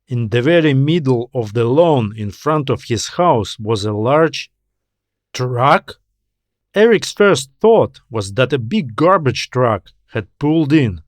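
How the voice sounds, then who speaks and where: A man reading a scripted story as an audiobook narrator, in a measured, deliberate storytelling manner. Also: mid-range voice, expressive, no room sound. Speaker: author narrating own story.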